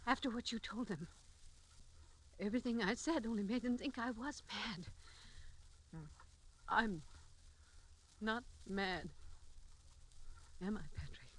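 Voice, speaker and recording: An actress playing a sorrowful, devoted wife in a 1940s radio drama, with deliberate and emotionally strained delivery. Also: female voice, on the high side. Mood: anguished, accusing. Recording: slightly noisy old radio recording.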